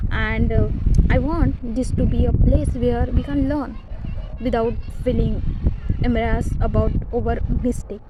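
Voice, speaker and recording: Earnest young woman, soft-toned. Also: on the high side, slight background noise, poor audio. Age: young adult. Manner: hesitant, earnest, with restarts and grammar slips. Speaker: vlogger learning English. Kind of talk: personal vlog monologue.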